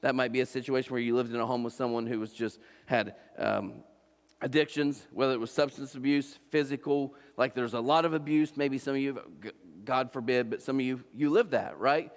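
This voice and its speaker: A man's voice: mid-range voice, fairly even intonation, brisk. A man in his forties from Ohio, preaching a sermon in a loose, conversational way, with restarts and frequent "right?" asides to the congregation. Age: 40s. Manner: conversational, repeats and restarts, asks the crowd "right?". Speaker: pastor. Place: church auditorium. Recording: long reverb of a large church hall.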